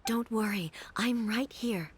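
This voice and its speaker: A woman playing a regal, composed ruler in a video game, speaking formally and with measured authority. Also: a little high, slightly rough, exaggerated voice. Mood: calm, reassuring.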